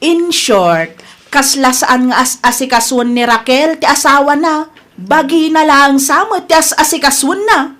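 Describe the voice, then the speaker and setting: A woman's voice: sits high, expressive, unhurried, fairly bright tone. A woman playing a nosy, fault-finding younger village gossip in a radio comedy drama, exclamatory and needling, with a Filipino accent. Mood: insistent, gossipy.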